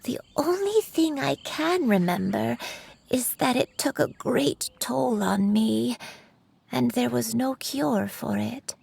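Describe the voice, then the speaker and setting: Frail gravelly old granny. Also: female voice, a little high, animated, a little mellow, slight background noise, exaggerated voice. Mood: wistful. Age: older. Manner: slow, halting, gravelly. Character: frail forgetful old woman, gentle. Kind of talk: game voice acting.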